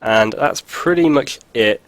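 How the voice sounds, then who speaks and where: A man with a head cold, his voice stuffy and sniffly, rambles through a casual card-game walkthrough. He talks at an everyday pitch, peppering his talk with ums and dry asides. The recording is dry and close, with little room sound. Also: expressive, a little slow, a bit bright, no room sound. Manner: rambling, filler-heavy, dry jokes. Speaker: video host. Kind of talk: casual gameplay commentary.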